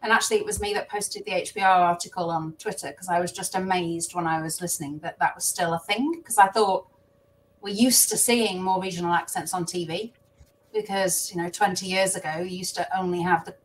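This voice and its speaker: A woman's voice: on the low side, steady tone, normal speed, recorded from a distance, a little hiss or hum, poor audio. A woman in her thirties with a strong Midlands accent, speaking directly on a panel. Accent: Midlands English. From English Midlands. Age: 30s. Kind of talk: panel discussion.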